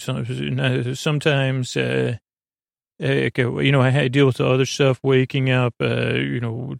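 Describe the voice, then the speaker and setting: A man talks as the host of a bedtime podcast in a notably creaky, mid-pitched voice. He rambles in a soothing, gently whimsical way, often stammering and drifting into tangents. The sound is dry, with no room echo. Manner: halting, stammering, trailing into tangents. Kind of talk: rambling, meandering sleep-podcast monologue.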